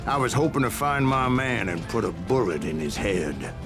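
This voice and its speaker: An actor playing a grizzled old American bounty hunter in a Western game, telling boastful tales in a folksy, gravelly voice. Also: male voice, fairly low pitch, normal speed, over music, lightly acted. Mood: boastful storytelling. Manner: folksy, boastful storyteller drawl. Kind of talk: dramatic Western game narration.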